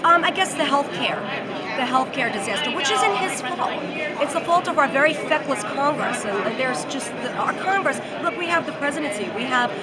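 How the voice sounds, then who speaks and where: A woman's voice: sits high, animated, a little fast. A woman with a New York accent, a radio co-host answering interview questions in an emphatic, brash way at a busy convention hall. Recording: loud crowd noise behind.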